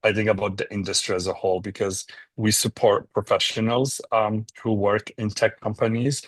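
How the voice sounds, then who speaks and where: Man from Morocco, low voice, dry studio sound, reflective, pauses with um and right. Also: little pitch movement, dry recording. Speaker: founder of a nonprofit. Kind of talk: conversational interview answers.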